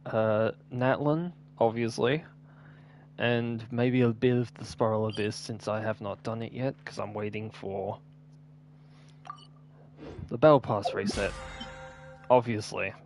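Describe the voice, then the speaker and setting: A man's voice: lowish voice, steady tone, unhurried, long pauses, darkish tone, soft background music. A man giving casual, unscripted gameplay commentary in an offhand, rambling way. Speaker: gaming video host.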